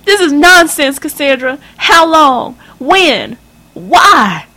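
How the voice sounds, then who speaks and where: A woman voicing an enraged, cursing middle-aged mother who shouts through tears. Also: high voice, very animated, takes it slowly, voice pushed up, exaggerated voice. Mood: furious.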